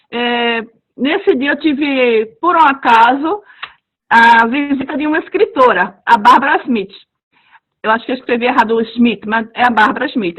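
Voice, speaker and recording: A woman who reads stories to children, talking casually on a webinar and acting out quoted dialogue with lively expression. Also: high-pitched. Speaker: heritage language storyteller. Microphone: video call. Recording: dull lo-fi video call audio.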